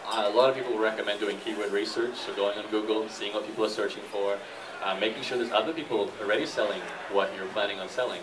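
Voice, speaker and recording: A man with a fairly low voice gives an informal talk, explaining things plainly as a guest speaker. He is picked up from some distance, with clear background noise. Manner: explanatory, repeats questions before answering. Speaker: guest speaker, internet entrepreneur and blogger. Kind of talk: informal talk answering audience questions. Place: live talk in a room with an audience. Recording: noisy live room, distant microphone.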